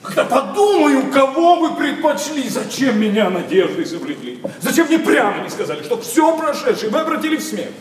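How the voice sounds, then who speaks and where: A man's voice: extremely high pitch, sing-song, brisk, few pauses. An actor playing a heartbroken, bitterly indignant young nobleman, declaiming classical verse with sarcasm in a radio play. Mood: bitter reproach. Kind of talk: classical verse stage acting. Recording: echoey hall, distant, faint noise.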